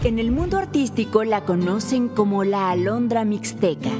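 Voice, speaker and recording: A woman's voice: medium pitch, expressive, moderate pace. Woman narrating a documentary over music.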